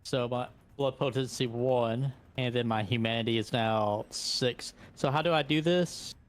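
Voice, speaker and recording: A man's voice: mid-range voice, measured pace. A man talking casually as a player in a tabletop roleplaying game.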